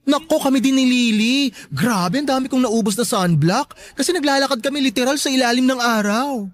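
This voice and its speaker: A woman playing a sharp-tongued, joking best friend in a Filipino radio drama, campy and teasing. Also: high-pitched, brisk, natural voice. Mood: playful, teasing.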